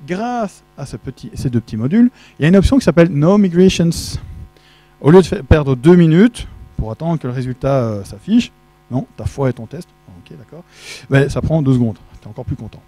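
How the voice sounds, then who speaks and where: A man's voice: on the high side, lively intonation, a little fast, dark tone, no echo. A middle-aged man speaking French at a tech conference, chatty and joking, quizzing the audience, on a handheld mic in a large auditorium. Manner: chatty, jokey, asks the audience questions. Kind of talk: casual tech conference talk. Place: large dark auditorium with projection screen. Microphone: handheld microphone.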